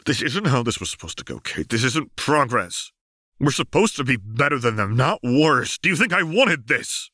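A man's voice: medium pitch, very animated, moderate pace, sharp tone, dry recording, studio quality, voice pushed up. A man playing a weary, anguished man of about forty, earnest and defensive, in an audiobook story.

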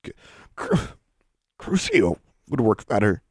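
A man's voice: on the low side, expressive, extremely slow, a little mellow, no room sound, clean studio sound, full character voice. A man voicing a defiant, battered teenage witch girl, terse and sardonic, in an audiobook story. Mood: pained defiance.

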